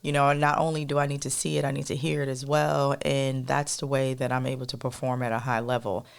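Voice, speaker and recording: Soft-voiced woman with a gravelly edge. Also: very deep voice, little pitch movement, fast talker. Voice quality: soft melodic voice. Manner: rambling, addresses listeners as y'all, many fillers. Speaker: podcast co-host. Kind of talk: casual chatty monologue to listeners.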